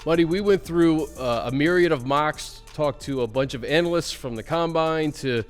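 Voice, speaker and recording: A man hosting a sports talk show, moving from an upbeat welcome into relaxed, conversational football analysis. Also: a little high, normal speed, clean tone, big-room sound, soft background music. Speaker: podcast host.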